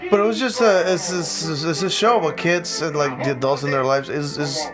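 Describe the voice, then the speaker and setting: A man's voice: a little high, moderate pace, over music. Male podcast co-host, native Spanish speaker with a light Spanish accent, loose casual delivery, frequent restarts, casual swearing. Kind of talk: casual chat.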